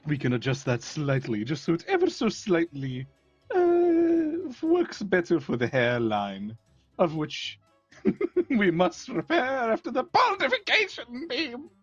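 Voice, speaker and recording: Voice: mid-range voice, wildly swooping pitch, takes it slowly, slightly rough, dry recording, lo-fi sound, faint music behind, full character voice. A performer voicing a theatrical villain barber who cackles often and speaks in pompous, old-fashioned phrases. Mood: gleeful menacing. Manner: archaic pompous speech, frequent cackles.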